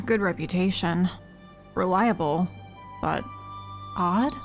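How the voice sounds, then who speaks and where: A woman playing a bored, offhand guild clerk in a fantasy audio drama, talking casually and slowly. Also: fairly low pitch, lively intonation. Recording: music behind the voice. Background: faint music.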